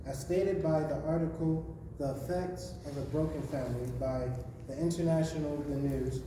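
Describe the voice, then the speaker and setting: Raspy young man, slightly high, reading a speech haltingly; heard at a distance in an echoey, noisy room. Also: steady tone. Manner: halting, restarts misread phrases. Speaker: student presenter.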